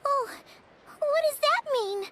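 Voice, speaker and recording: A woman voicing a tiny, excitable, childlike fairy companion in a video game, squeaky and blunt. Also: takes it slowly, background noise, full character voice. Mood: curious. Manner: excitable, blunt, third-person self-reference. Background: game music.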